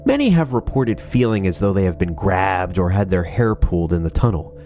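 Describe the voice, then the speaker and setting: A man's voice: low voice, very animated, brisk, dark tone, soft background music. A man with a stuffy, nasal voice from a sinus cold, casually reading ghost stories into a microphone with sarcastic asides. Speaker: paranormal channel host. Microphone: studio microphone.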